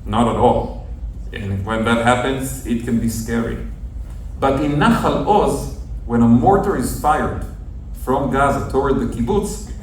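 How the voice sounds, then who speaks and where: A man's voice: mid-range voice, animated. Man talking from far off. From northern Israel. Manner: earnest, measured, explanatory. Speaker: journalist speaking to a group. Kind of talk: storytelling talk to visitors. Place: outdoors at a kibbutz near the Gaza border. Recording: very echoey, distant outdoor recording with faint noise.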